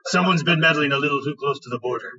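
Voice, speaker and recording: A man voicing gruff, growling orcish raiders on patrol, wary and commanding, in a tabletop fantasy game. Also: racing, pushed and bright, recorded from a distance, lo-fi sound. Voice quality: deep, growling.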